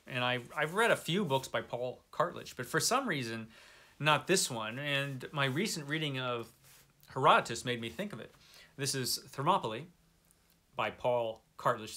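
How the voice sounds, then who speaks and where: Bright-voiced middle-aged man chatting casually. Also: mid-range voice. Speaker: book vlogger. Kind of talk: casual chat to camera, book recommendations.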